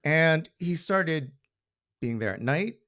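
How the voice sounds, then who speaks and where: A man's voice: on the high side, expressive, slow pace, a bit bright. A middle-aged American man telling a personal story live to an audience in a wry, conversational way, slipping into quoted dialogue. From Maryland, United States.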